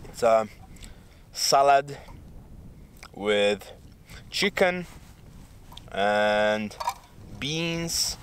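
A man's voice: on the low side, animated, drawn-out words, pauses often, slight background noise. A young man talking casually to his camera outdoors in a vlog, thinking aloud with some hesitation. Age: young adult. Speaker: vlogger. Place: outdoors on an open mountain hillside.

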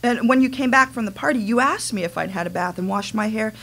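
A woman playing a resentful adult daughter with a halting, earnest delivery in a staged dramatic reading. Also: fairly high pitch, gravelly, a bit bright. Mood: hurt recounting. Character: wounded, resentful adult daughter confronting her mother. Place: small dimly lit stage venue. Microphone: microphone on a stand.

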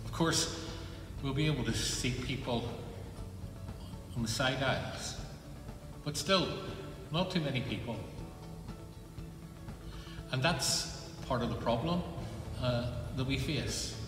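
An older minister talks to his congregation in a calm, reassuring way. His voice rings through a big empty church with a long reverb. Music plays clearly behind him. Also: mid-range voice. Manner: measured, gentle and reassuring. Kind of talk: pastoral announcement to congregation. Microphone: phone camera close up, then from a distance. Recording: echoey church hall with background music.

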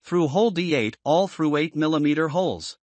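Higher-pitched man narrating a software tutorial. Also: animated, fairly quick, no room sound. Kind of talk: scripted instructional narration.

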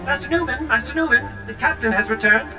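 An actor voicing a prim, flustered protocol droid in a video game, prissy and fretful with a metallic filter. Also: male voice, very high-pitched, fast talker, roomy sound, over music. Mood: relieved, fussy. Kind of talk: video game dialogue acting.

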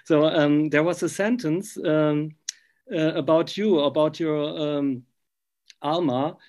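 A man, interviewing casually in halting, English. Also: a little high, steady tone, a little mellow. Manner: halting non-native English.

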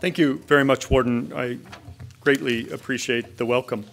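A man's voice: medium pitch, steady tone, normal speed, gravelly, fairly bright tone, a little hiss or hum. A middle-aged man giving a conversational lecture in a large indoor hall, speaking into a lectern mic and then a clip-on mic while moving around. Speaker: guest speaker. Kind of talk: public lecture.

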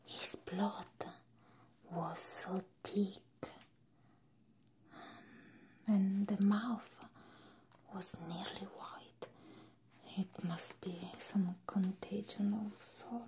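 A woman playing a gentle, attentive hospital nurse in an ASMR roleplay, speaking in a soft, breathy, reassuring whisper. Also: medium pitch, steady tone, slight background noise. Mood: hushed, clinical. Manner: slow, hushed, reassuring, with pauses.

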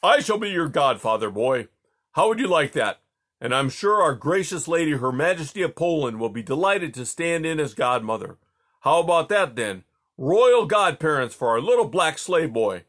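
A man voicing a jolly, domineering Russian tsar who talks loudly and teases. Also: a little high, big pitch swings, moderate pace, voice pushed up. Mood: teasing. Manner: loud, roaring, teasing.

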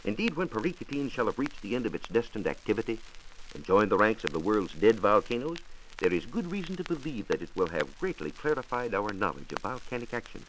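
A man's voice: on the low side, very animated, a little fast, fairly bright tone. An American man giving a formal, precise scripted science explanation as a 1940s radio reporter. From United States. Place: radio studio. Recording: noisy vintage 1940s radio transcription disc.

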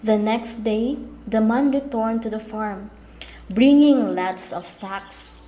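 A woman's voice: on the high side, slow, mellow, low-quality recording. A woman narrating a scripted puppet show as a storyteller.

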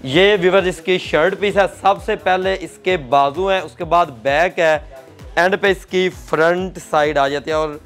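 A man's voice: high-pitched, measured pace, a bit bright, faint music behind. Man touring a fabric warehouse. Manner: repeats and sums up the seller's points for viewers. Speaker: host. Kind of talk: casual shop tour chat. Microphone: clip-on lapel mic.

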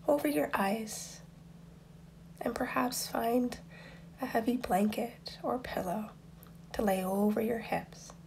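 A woman guiding a meditation in a soft, breathy, near-whispered voice, soothing and unhurried, with long pauses between instructions. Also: medium pitch, slight background noise, low-quality recording. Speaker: meditation guide. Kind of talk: guided meditation.

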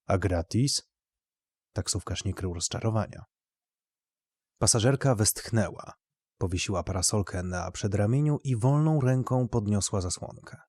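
A man's voice: fairly low pitch, moderate pace, a little mellow, no echo, studio quality. A man narrating literary fiction as a scripted audio story.

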